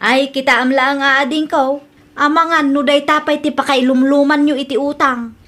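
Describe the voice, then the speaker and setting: A woman plays a nosy older sister in an Ilocano drama. Her high voice carries an Ilocano accent as she frets and disapproves over family matters. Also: steady tone, moderate pace, fairly bright tone. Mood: disapproving, worried. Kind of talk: Ilocano audio drama dialogue.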